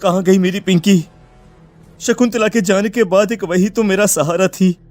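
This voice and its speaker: Man acting a high-pitched distraught village father. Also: fairly even intonation, normal speed, darkish tone, faint music behind, close to an everyday voice. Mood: grieving. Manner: pleading, lamenting. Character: distraught village father searching for his lost daughter. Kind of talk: dramatised animated folk tale.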